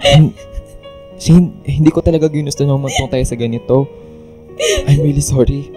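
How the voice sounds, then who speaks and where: A man's voice: a little high, moderate pace, mellow, soft background music, natural voice. A man playing an apologetic young man in a radio drama, speaking in a subdued, regretful way. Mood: guilty, apologetic. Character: apologetic young man Virgel, reluctantly rejecting his admirer. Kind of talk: Filipino radio drama acting.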